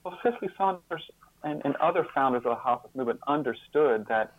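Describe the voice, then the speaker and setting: Narrow-band dry sound, male interview guest, halting explanatory delivery, repeated words, stressed key terms. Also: fairly high pitch, animated, no room sound. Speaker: interview guest, author and sociologist.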